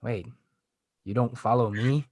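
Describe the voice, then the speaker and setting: A man's voice: medium pitch, a little slow, darkish tone. A man playing an awkward, sarcastic, petulant teenage boy in a comedy script read, whiny and prone to stammering.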